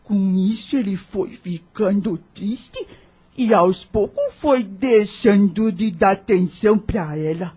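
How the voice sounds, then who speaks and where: A Brazilian woman playing an old, motherly enslaved nursemaid in an audio drama, husky and weathered, telling things solemnly in rustic folk speech. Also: mid-range voice, slow, warm tone. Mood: solemn, sorrowful.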